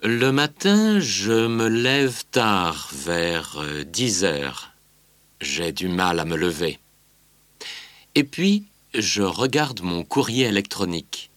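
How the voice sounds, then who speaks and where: A man's voice: sits low, animated, slow, fairly bright tone, no room sound, exaggerated voice. An actor voicing a male singer on a promo tour, reading a scripted interview in an easygoing way. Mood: candid.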